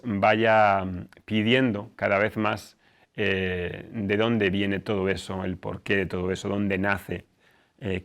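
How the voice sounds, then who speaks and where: A man hosting and introducing a student discussion, explaining things informally and somewhat haltingly. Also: on the low side, gravelly. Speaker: host, teacher.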